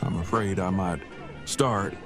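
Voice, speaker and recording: A man playing a wordy, theatrical dad with formal, deliberate phrasing in an audio drama. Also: low-pitched, music in the background. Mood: mock-solemn.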